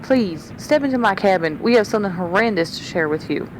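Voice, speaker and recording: A woman's voice: expressive, a little fast, close to an everyday voice. A woman playing a composed, genteel, insistent amateur sleuth in a period radio mystery. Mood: poised, insistent. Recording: train rumble behind the voice.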